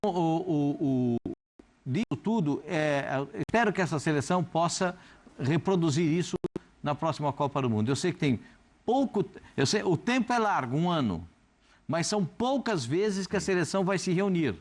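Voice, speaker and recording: A man's voice: fairly high pitch, moderate pace. A man from Brazil commenting on a TV football debate show, talking animatedly with frequent restarts. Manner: hesitant, many restarts, animated. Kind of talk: TV sports debate.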